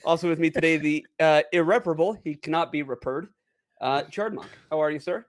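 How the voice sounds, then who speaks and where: A man's voice: a little high, fairly quick. A man hosting a gaming podcast with casual, jokey banter and mock-grand flourishes. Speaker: podcast host.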